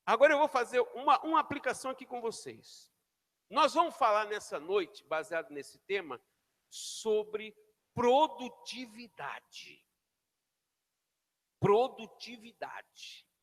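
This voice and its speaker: A pastor preaching a sermon in a church, shouting then dropping to near whispers as he calls the congregation to repeat after him. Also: high-pitched. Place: church hall. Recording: long hall reverb.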